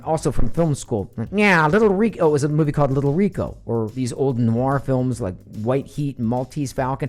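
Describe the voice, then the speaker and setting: Lively, animated male voice-acting teacher. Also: medium pitch. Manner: animated and chatty, with asides. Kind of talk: casual lecture to a class.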